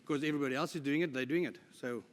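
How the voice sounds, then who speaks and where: A man's voice: on the high side, rapid-fire. A middle-aged man giving a conference talk, informal and jokey with self-deprecating asides. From South Africa. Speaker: conference speaker. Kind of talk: conference presentation. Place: conference hall. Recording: live talk in a reverberant hall.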